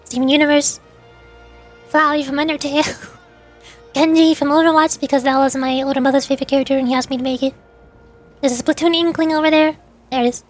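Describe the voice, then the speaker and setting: Young female streamer, high voice, loose and chatty, faint music underneath. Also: steady tone, fast talker, pauses often. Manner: chatty, rambling, reads chat aloud. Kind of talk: casual chat with viewers.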